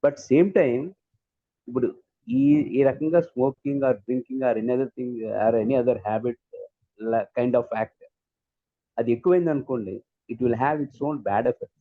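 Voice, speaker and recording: Fast-talking Telugu Indian man, slightly dull audio. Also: mid-range voice, no echo. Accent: Indian, Telugu-speaking. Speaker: participant in a community discussion call. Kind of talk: casual discussion, mixing English and Telugu. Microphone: online community call.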